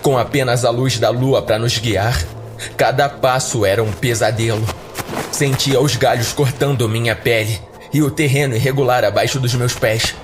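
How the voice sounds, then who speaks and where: A man with a mid-pitched voice narrates a scripted horror tale in the first person. Faint music runs beneath his podcast narration. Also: fairly even intonation, normal speed.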